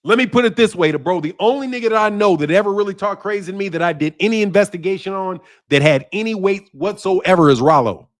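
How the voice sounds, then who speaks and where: A man hosting a casual boxing livestream, talking in lively slang and reading viewer chat. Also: high voice, fast talker, hardly any pauses. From Chicago area. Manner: animated, slangy, loud outbursts and laughter. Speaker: boxing channel host. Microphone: streaming mic.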